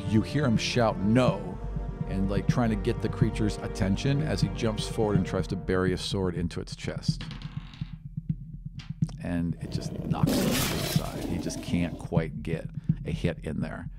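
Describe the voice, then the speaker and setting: Low-voiced man running a tabletop game. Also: a little slow, over loud music. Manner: vivid, gory descriptive storytelling in casual speech. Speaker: game master. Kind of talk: improvised tabletop game narration. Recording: clearly noisy background with clicks.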